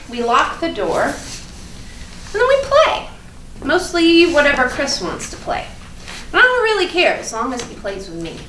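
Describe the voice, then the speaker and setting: A woman playing an innocent, chatty but fearful first-grade girl in a theatrical monologue, halting and repeating her words. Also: high-pitched, fairly bright tone, heavily acted. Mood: playful, confiding. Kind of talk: theatrical monologue at a staged reading. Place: indoor room at a live staged reading. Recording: roomy, distant, noisy live stage recording.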